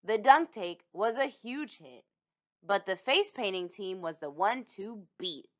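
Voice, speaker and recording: A woman reading a children's picture book aloud in an expressive storytelling manner. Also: medium pitch, measured pace, dull audio. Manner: animated, storytelling delivery for young children.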